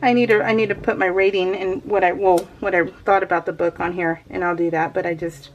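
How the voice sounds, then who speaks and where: A woman chatting casually to her viewers as a craft vlogger, in an excitable, rambling, giggly way. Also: lowish voice, fairly quick, lo-fi sound, a little hiss or hum. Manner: addresses viewers familiarly. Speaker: craft vlogger hosting a scrapbooking video.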